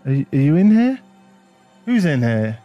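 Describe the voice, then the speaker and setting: A man's voice: fairly high pitch, very animated, moderate pace, lo-fi sound, soft background music. A man playing a protective, tender werewolf boyfriend in an ASMR roleplay, speaking in a soothing, reassuring manner. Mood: alarmed.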